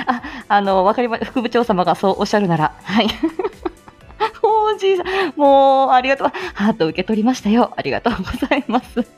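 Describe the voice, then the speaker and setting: A woman's voice: on the high side, big pitch swings, moderate pace, darkish tone, faint music behind. A woman hosting a casual live morning stream, chatting and greeting listeners in a bubbly, giggly, self-deprecating way. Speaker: speech-language therapist.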